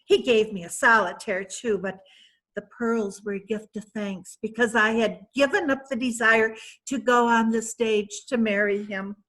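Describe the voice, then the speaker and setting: Casting: woman reading a boastful society lady, slow and laughing, slightly high voice. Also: steady tone, fairly bright tone, low-quality recording. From San Francisco. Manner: gushing, laughing, slow and theatrical. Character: vain, flirtatious wealthy woman, Mrs. Courtney Page. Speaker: reader in a play reading. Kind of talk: amateur readers' theatre acting.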